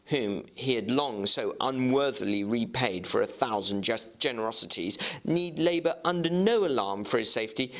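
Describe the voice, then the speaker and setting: A man narrating classic fiction in a theatrical, expressive way, as a dramatic reading. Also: medium pitch.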